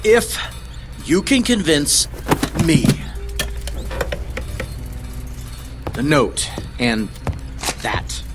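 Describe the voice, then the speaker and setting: An actor playing a sceptical police interrogator, pointed and questioning, in a horror audio drama. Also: male voice, on the high side, big pitch swings, extremely slow, music in the background, full character voice.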